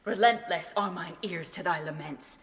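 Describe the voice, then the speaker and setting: A woman's voice: fairly low pitch, normal speed, a little hiss or hum, lightly acted. An actress playing a defiant, bereaved young noblewoman who performs as a tragic queen, scornful and impassioned in classical verse. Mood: scornful, vengeful. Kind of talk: classical verse radio tragedy.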